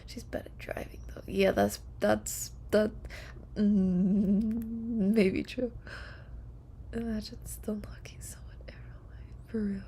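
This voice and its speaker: A young woman streamer chatting softly and casually to viewers, with a whispery, giggly, playful manner. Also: medium pitch, little pitch movement, slow pace. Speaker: live streamer. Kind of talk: soft, casual sleep-stream chat with viewers.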